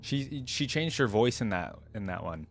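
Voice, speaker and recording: A woman's voice: extremely low pitch, big pitch swings, normal speed, soft background music. A woman hosting a reaction video, talking in a casual, musing, conversational way. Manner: musing, conversational, often echoes lines back.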